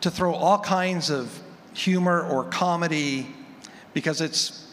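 A man casually asking a question as an audience member, a little halting. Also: on the high side, fairly bright tone. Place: large convention room. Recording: echoey hall, background noise.

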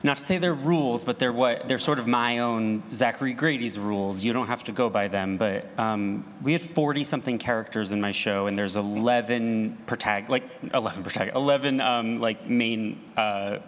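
A man speaks informally as a panelist, eager and halting as he works through his thoughts. His mid-range voice rings in a reverberant hall with faint noise behind it. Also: mid-range voice. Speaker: panelist, audio drama creator. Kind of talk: panel discussion, informal opinions.

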